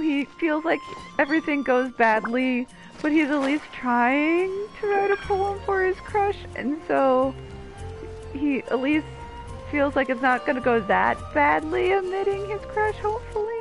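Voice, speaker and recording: Woman, game streamer, higher voice, casual, joking, chatty, faint music behind. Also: lively intonation, a little slow, low-quality recording. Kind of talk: casual gameplay commentary.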